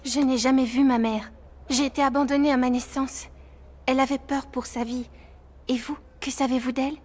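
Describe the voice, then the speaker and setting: A woman playing an earnest young orphan girl with dreams of dancing, in an animated series. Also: high voice, normal speed. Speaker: voice actor. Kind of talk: French animated series dialogue.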